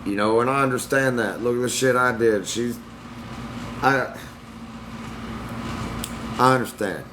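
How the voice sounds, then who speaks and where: A man's voice: medium pitch, a little slow, pauses often, sharp tone. A young man talking bluntly and defensively to his livestream chat. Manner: blunt, defensive, Southern-tinged slang. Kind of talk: casual livestream talk to chat. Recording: noisy room with air conditioning hum.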